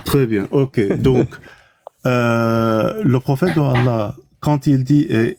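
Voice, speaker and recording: Homme pressant à la voix sourde. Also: male voice, medium pitch, takes it slowly, a little mellow. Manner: pleading, interrupts, repeats words. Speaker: debate participant. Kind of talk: heated online debate.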